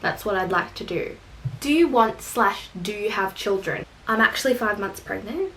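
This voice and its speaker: A woman's voice: medium pitch, expressive, normal speed, a bit bright, slight background noise, rough recording. A young woman with an Australian accent, chatting casually and rambling through questions for her vlog, with self-deprecating jokes. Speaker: vlogger. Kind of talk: casual tag video answering questions.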